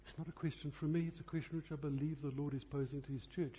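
A man preaching a revival sermon earnestly and deliberately, pressing his questions with repeated emphasis. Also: a little high, fairly even intonation, warm tone, slight background noise. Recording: husky, very reverberant church hall sound.

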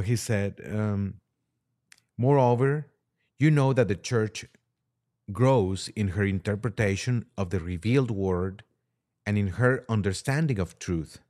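A man with a lowish voice talks through religious matters as a guest on a podcast. He is deliberate and explanatory, often halting as he picks his words. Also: darkish tone. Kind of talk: religious discussion, reading aloud and commenting.

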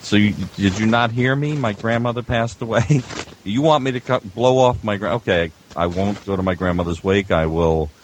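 A middle-aged or older man, an audio engineer guest, casually telling stories in a wry, halting way. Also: on the low side, a little hiss or hum.

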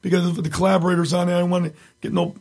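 A raspy-voiced middle-aged man, a tribute singer, chatting casually as an interview guest and telling rambling stories with many restarts. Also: sits high, level tone, rapid-fire, darkish tone. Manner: rambling storyteller, many restarts, frequent chuckles.

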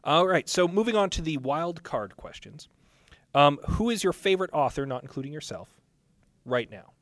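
A man's voice: on the high side, expressive, moderate pace, a bit bright, no echo. A man hosting a podcast, chatting casually as he interviews a guest at a live panel. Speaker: podcast host. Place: live panel with a small audience.

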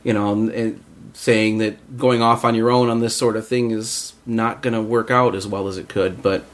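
A man's voice: on the low side, level tone, moderate pace. A man in a casual group discussion, talking in a rambling way and often circling back over his words. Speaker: voice actor on a round-table.

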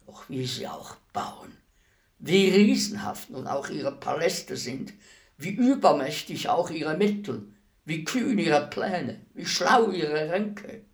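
An actor playing a despised, philosophical executioner in a radio play, speaking in a solemn, deliberate, measured monologue. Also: male voice, on the high side, expressive. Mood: solemn, reflective. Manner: measured, grave, deliberate declamation. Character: despised executioner, calm, philosophical killer addressing his victim.

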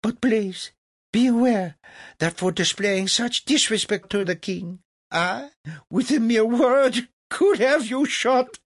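A man voicing a quick-tempered French king with a shrill, imperious manner. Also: no room sound, studio quality, exaggerated voice. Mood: amused threatening.

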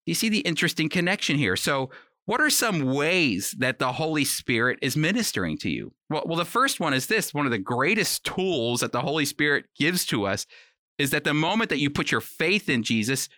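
Bright-voiced man teaching the Bible. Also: on the high side, big pitch swings, moderate pace, no room sound, studio quality. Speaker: Bible teacher on a radio program. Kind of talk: scripted Bible teaching, conversational.

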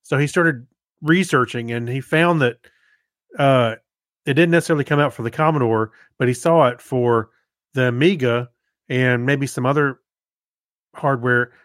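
A man co-hosting a podcast, telling anecdotes in a casual, halting, conversational way. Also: medium pitch, a bit bright, no echo, studio quality. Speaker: podcast co-host. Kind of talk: casual chat.

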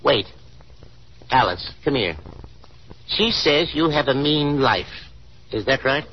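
A man's voice: mid-range voice, animated, slow pace, breathy edge. An actor playing a cornered, stubborn middle-aged father in a 1930s radio drama, grumbling and blustering. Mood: grave. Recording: dull 1930s radio recording.

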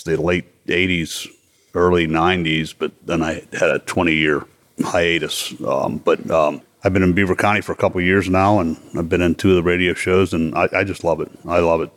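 A community theatre actor speaking eagerly, with hesitant starts. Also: male voice, deep voice.

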